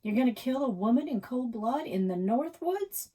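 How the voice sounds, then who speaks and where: A woman's voice: a little high, lively intonation, normal speed, warm tone, voice pushed up. A woman storyteller voicing a tiny, devout, fearless mother who speaks firmly and defiantly in a read-aloud story. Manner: firm, defiant, emphatic.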